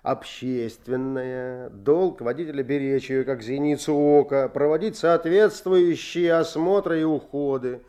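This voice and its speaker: An actor playing a stern, officious motor depot boss who lectures like a bureaucrat in a Soviet radio play. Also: male voice, mid-range voice, a little slow, hardly any pauses, warm tone, natural voice. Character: gruff, officious male motor depot boss, fatherly underneath.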